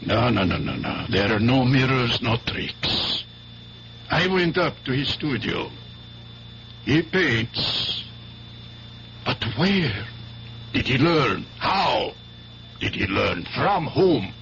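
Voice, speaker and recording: An actor playing a flustered, baffled art professor in a 1970s radio drama, speaking emphatically and theatrically. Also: male voice, mid-range voice, big pitch swings, slow, pauses often, a bit bright, full character voice. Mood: baffled, emphatic. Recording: muffled, noisy 1970s radio broadcast with hum.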